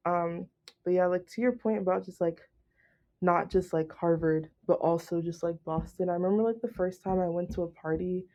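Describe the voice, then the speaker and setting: A young woman student chatting on a podcast, with a slightly deep voice and heavy use of "like". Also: moderate pace, warm tone. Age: young adult. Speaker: student guest. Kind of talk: casual podcast discussion.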